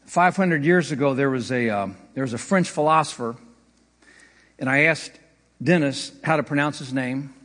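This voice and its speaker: A man's voice: mid-range voice, moderate pace, a bit bright. Man preaching in a reverberant hall. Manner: conversational preaching with slips and light laughs. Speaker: pastor. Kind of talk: sermon.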